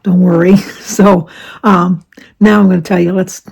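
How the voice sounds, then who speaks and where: A woman in her seventies from Michigan, chatting casually to her viewers with a slightly raspy voice and rambling off topic. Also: on the low side, level tone, measured pace, a little mellow. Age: 70s. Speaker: vlogger. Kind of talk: casual chat to camera.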